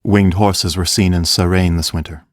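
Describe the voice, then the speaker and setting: A male actor as a fantasy king with a low voice, gentle and earnest. He talks in a measured, grave manner, as a kind ruler would, in a dry, close audiobook recording. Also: level tone, dry recording, close to an everyday voice. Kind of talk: full-cast audiobook acting.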